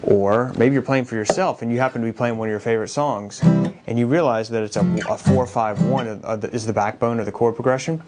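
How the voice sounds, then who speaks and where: A man teaches guitar chords in an easygoing, conversational manner, pausing to play examples. His voice sits at a middle pitch. Loud acoustic guitar plays right under his speech. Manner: relaxed, explains while strumming chords. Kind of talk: casual guitar lesson. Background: guitar played by the speaker.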